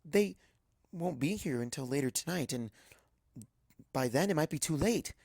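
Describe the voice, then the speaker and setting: A man's voice: fairly high pitch, animated, normal speed, vocal fry, a little mellow, no echo, clean studio sound, voice pushed up. A man voicing an earnest, anxious young female unicorn student in a storybook reading. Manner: worried, questioning.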